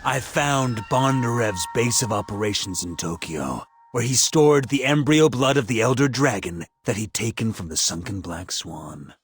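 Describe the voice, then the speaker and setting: An actor playing a cold, self-satisfied scientist villain in a video game, delivering a theatrical, gloating monologue with drawn-out emphasis. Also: male voice, mid-range voice, animated, lightly acted. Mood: matter-of-fact. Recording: echoey, music underneath.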